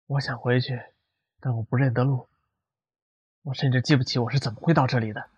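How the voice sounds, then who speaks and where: Man acting a gentle, stranded young hero. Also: mid-range voice, fairly even intonation, fairly quick, breathy edge, no room sound, dull audio, lightly acted. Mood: awkward. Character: stranded man from another world, gentle, awkward, conscientious. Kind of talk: Chinese sci-fi radio drama acting. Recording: music bed under the voice.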